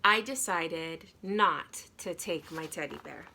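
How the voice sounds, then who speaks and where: A woman reading a children's story aloud in an expressive teacher's style, addressing young listeners. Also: sits low, slow, gravelly, slight background noise.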